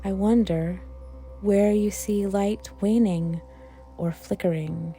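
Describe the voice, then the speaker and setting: A woman leads a guided meditation in a fairly low, mellow voice with a noticeable creak. Her delivery is gentle and reflective, with space left between phrases, as a worship leader would give it. Soft music plays faintly behind her. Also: fairly even intonation, takes it slowly.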